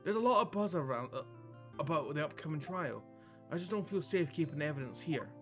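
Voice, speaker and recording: Wise older-sister lawyer played by a man, measured, encouraging, visual novel game, bright tone. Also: a little high, animated, soft background music. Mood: concerned. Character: calm, wise woman lawyer, mentor and elder sister. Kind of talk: visual novel read-aloud.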